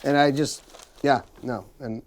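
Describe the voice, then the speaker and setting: A man's voice: medium pitch, fairly even intonation, unhurried. A man hosting a podcast, chatting casually with his guest, rambling with lots of fillers and restarts. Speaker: podcast host. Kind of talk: casual interview chat.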